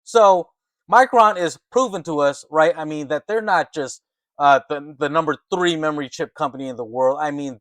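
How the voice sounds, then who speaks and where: A man hosting an informal, excited finance commentary, talking emphatically and conversationally and often checking in with short tag questions. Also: fairly high pitch, dry recording, clean studio sound. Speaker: host of a stock market commentary channel. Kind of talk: excited informal market commentary, partly reading articles aloud.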